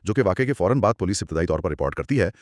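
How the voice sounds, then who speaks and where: Male explainer co-host: articulate, formal phrasing, highly expressive intonation, dry close sound. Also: racing, no room sound. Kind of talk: scripted two-host explainer discussion.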